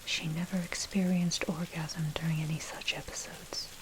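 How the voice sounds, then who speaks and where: A woman reading a scripted article in a breathy, unvoiced ASMR whisper, with measured, articulate, bookish delivery. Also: deep voice, rough recording. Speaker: narrator reading own dark history article. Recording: steady noise, rain and thunder behind. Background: rain and thunder.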